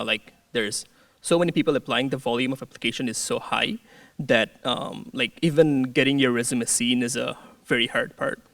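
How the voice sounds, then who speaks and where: A man's voice: medium pitch, gravelly, a bit bright, no room sound, distant mic. A young man from Bangladesh, a student peer advisor, introducing himself on a panel with frequent fillers and restarts. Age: young adult. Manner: hesitant, many fillers and restarts.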